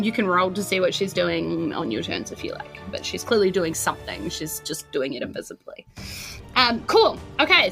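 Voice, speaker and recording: A woman's voice: fairly high pitch, bright, forceful tone. A woman with a New Zealand accent casually narrating a tabletop fantasy game as game master, with music behind her.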